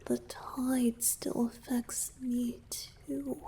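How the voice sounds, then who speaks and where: Woman voicing a slow, breathy, flustered merman boyfriend. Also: fairly high pitch, little pitch movement, dark tone. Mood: shy, confessing. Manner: hesitant, breathy, often dropping to a whisper. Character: flustered, lovestruck young merman boyfriend, shy and earnest. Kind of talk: romantic ASMR roleplay.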